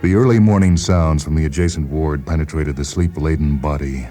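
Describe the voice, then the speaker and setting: A man narrates literary fiction in an extremely deep, mellow voice. His reading is measured and expressive, dramatic in style, with faint music behind it. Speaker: narrator, short story reader.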